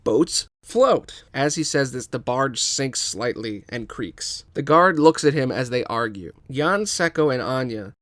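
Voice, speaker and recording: A man reading a scripted story aloud as narrator, with expressive delivery. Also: medium pitch, a little slow, few pauses, no room sound. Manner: expressive, acts out every character. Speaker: podcast host and writer.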